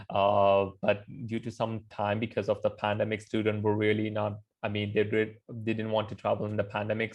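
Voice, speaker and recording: A man who is a guest admissions consultant, talking in a halting way with many fillers and restarts. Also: lowish voice, gravelly, a little mellow. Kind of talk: casual interview chat.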